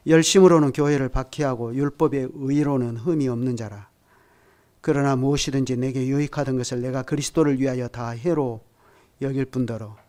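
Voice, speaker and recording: A man in his sixties preaching a sermon at a midweek prayer service, measured in delivery and building to emphatic peaks while speaking directly to the congregation. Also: mid-range voice, darkish tone. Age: 60s. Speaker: pastor.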